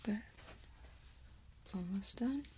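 A woman in her thirties doing a soft-spoken, partly whispered ASMR exam role play, giving slow, gentle instructions close to the microphone. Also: medium pitch, slight background noise. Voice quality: breathy, whispery. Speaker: ASMR performer playing an examiner.

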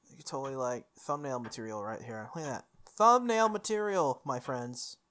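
A young adult streamer reading a visual novel aloud, with expressive delivery and casual, playful asides. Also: medium pitch, moderate pace. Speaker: game streamer. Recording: music playing under the voice. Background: background music.

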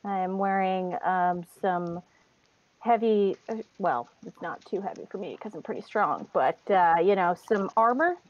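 A woman on a video call, relaxed and hesitant with many fillers, describing her tabletop character. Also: medium pitch, unhurried, lo-fi sound. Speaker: player. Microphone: webcam video call.

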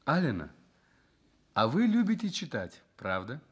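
An actor in a Soviet radio drama playing a lonely, gentle, courteous journalist in a hospital bed, quietly in love. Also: male voice, mid-range voice, huge pitch swings, a little slow, slightly rough, full character voice. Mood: tender curiosity.

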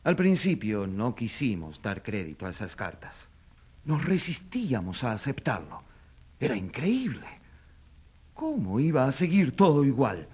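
A man's voice: mid-range voice, breathy edge. A man playing an indignant opposition newspaper editor testifying in a radio courtroom drama, with emphatic, rhetorical delivery. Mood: grave, indignant.

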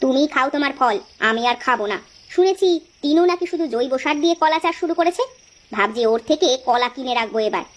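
A woman voicing a blunt, sharp-tongued village wife in a cartoon, with a scolding manner. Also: high voice, lively intonation, quick pace. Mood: sulky, reproachful. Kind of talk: cartoon dialogue acting.

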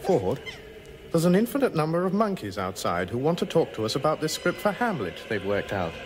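A man's voice: a little high, very animated, a little fast. An actor playing a bewildered, exasperated English everyman stranded in space, plaintive and incredulous, in a comic science-fiction radio drama. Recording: radio play with music behind.

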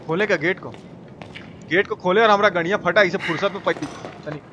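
High-pitched excitable man streaming. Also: a little fast, lo-fi sound, slight background noise. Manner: excitable, slangy, repeats phrases. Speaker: live game streamer. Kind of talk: casual gameplay commentary with chat replies.

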